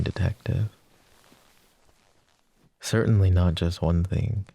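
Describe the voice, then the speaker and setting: A man acts out a lovestruck mafia boss in an intimate roleplay ASMR. His voice is very deep and dark, with heavy vocal fry. He speaks in a tender, hesitant, teasing murmur, possessive but self-deprecating. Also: dry recording, heavily acted. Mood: earnest, smitten.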